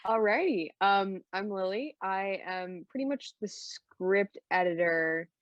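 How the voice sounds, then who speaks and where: A woman's voice: medium pitch, expressive, slow, dry recording. A woman casually hosting and asking questions on a podcast, recorded dry and slightly muffled. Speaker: podcast moderator and script editor.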